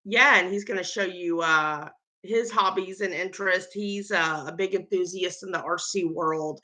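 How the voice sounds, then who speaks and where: A woman's voice: on the low side, normal speed, pushed and bright. A tired woman with a Southern American accent, chatting casually to camera on her baking vlog, rambling with lots of ums. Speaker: home baker and vlogger.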